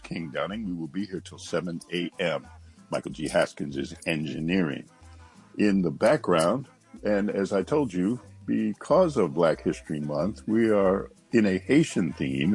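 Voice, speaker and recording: A man hosting a morning radio show and reading announcements in a steady announcer's manner. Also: low voice, husky. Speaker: radio host. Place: radio studio.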